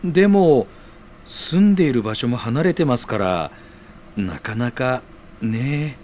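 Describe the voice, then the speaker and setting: An actor playing a gentle, considerate, friendly senior colleague in an audio drama, choosing soft, calming words. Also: male voice, mid-range voice, slow. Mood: agreeable. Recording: audible background noise.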